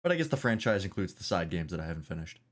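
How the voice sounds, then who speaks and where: Low-voiced, rambling male streamer. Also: big pitch swings, rapid-fire. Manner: rambling, self-deprecating jokes. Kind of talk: casual game stream chat.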